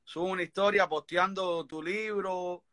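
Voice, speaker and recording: High-voiced Cuban man telling his story. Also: steady tone, unhurried, pushed and bright. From Cuba. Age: 30s. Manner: earnest, rambling, many restarts. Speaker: interview guest. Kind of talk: personal story in conversation.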